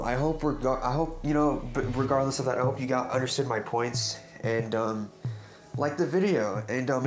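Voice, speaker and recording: A young man giving casual, unscripted commentary on a game theory, stuttering often, restarting sentences and tagging points with "right?". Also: medium pitch. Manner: rambling, keeps asking "right?". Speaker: YouTuber discussing game theories. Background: music in the background.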